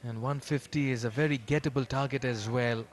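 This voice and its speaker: A man's voice: mid-range voice, fairly even intonation, brisk. Male cricket commentator over ground noise. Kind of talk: live sports commentary with casual chat. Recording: clear background noise, live ground ambience.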